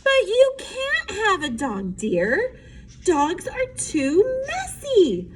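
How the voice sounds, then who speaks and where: Woman playing a high-pitched, fussy mother frog. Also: huge pitch swings, very slow, a little hiss or hum, full character voice. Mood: scolding. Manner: calling out, firm, exaggerated. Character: firm, fussy mother frog scolding her child.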